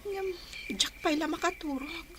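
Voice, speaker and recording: A woman acts as a poor young village woman in a radio drama. She speaks slowly and earnestly in a very high, plaintive voice, with noise in the background. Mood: troubled, pensive.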